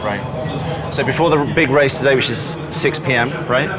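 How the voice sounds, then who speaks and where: A man's voice: mid-range voice, normal speed. A man conducting a casual interview on site outdoors. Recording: loud music under outdoor interview.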